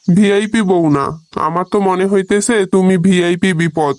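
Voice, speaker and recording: A man's voice: high voice, fairly even intonation, normal speed, a little mellow, dry recording, lightly acted. A man with a Bangladeshi accent playing an exasperated village husband who protests and reasons, in a comic cartoon.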